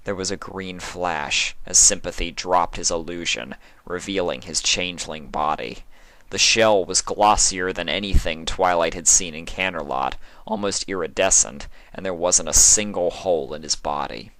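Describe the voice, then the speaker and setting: Male story narrator, low pitch, dramatic scripted read, dry recording. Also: normal speed, a bit bright, no echo. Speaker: fan fiction reader.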